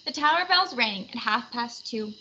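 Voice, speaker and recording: A woman reading a children's storybook aloud in an animated narration style. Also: fairly high pitch, normal speed. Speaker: storybook reader. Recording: slightly muffled, faint background noise.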